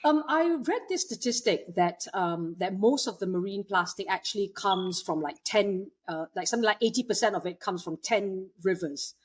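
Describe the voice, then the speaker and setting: A woman's voice: medium pitch, expressive, normal speed, hardly any pauses, husky. A woman from Malaysia, a guest in an interview, talking in a hesitant way with many fillers and restarts. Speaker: guest, waste technology entrepreneur. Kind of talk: conversational interview.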